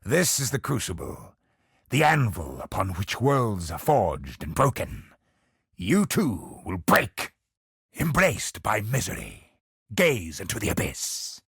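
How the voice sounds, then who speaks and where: An actor voicing a brooding, grandiose godlike villain in a video game, husky and gravelly, with an ominous, deliberate delivery. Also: male voice, fairly low pitch, wildly swooping pitch, a bit bright, no echo, clean studio sound, heavily acted. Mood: ominous, reverent. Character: godlike male cosmic villain, brooding, grandiose, obsessed with perfection. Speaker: video game boss character.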